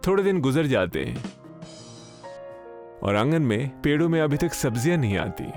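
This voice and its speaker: A man's voice: mid-range voice, very animated, moderate pace. Male story narrator over music. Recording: background music under narration.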